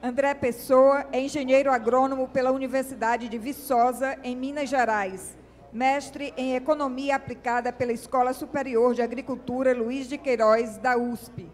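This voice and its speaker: Woman emcee, high voice, formal and measured, hall echo. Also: fairly even intonation. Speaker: event presenter. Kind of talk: formal reading of a speaker introduction.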